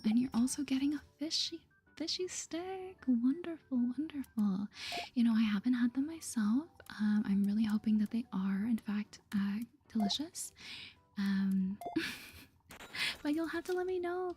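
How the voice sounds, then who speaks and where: A woman whispering softly in a gentle, polite, chirpy way as she hosts an ASMR game playthrough. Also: high voice, expressive, unhurried, husky. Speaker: gaming video host.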